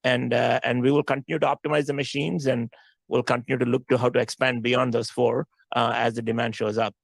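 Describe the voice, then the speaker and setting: A man's voice: medium pitch, flat delivery, brisk, creaky, no echo. A man speaking as a company executive, answering questions on an earnings call in an explanatory way, repeating phrases for emphasis.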